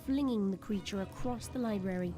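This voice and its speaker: A woman's voice: mid-range voice, brisk. A woman giving dramatic scripted narration as the narrator of an audio drama. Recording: music behind the voice. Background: clear background music.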